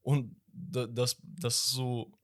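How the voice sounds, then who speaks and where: A young man co-hosting a casual football podcast, laughing often and telling stories in quick bursts. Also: medium pitch, flat delivery, fairly bright tone, no room sound, clean studio sound. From Turkish background. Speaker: podcast co-host.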